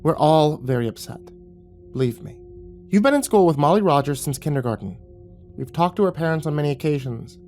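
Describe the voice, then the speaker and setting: A furious mother, sharp and scornful, snapping at her child, as a male narrator puts her on. She is pitched well above the reader's own voice and gets loud, over faint music. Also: lively intonation, a little fast. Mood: stern. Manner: sharp, scolding.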